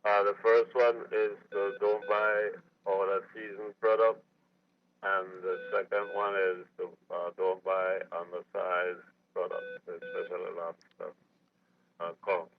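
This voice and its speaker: Low-voiced man on phone. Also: little pitch movement, unhurried. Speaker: phone-in caller. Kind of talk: live quiz answer. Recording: dull phone line, faint noise.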